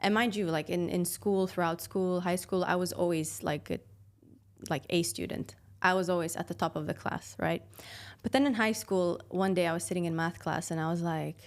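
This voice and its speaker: A woman in her twenties from Sweden, a podcast guest talking casually and thoughtfully about her path, with fillers and soft laughs. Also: fairly low pitch, normal speed. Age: 20s. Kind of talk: casual interview chat.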